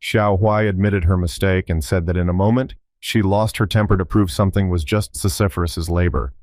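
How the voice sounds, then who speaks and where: A man reading a scripted story recap in a steady read-aloud way. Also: deep voice, normal speed, no echo. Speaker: recap narrator.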